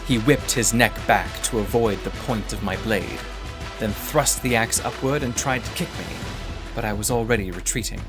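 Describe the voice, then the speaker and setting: A man narrating a first-person adventure story in a measured, formal, literary manner. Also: on the low side, music in the background.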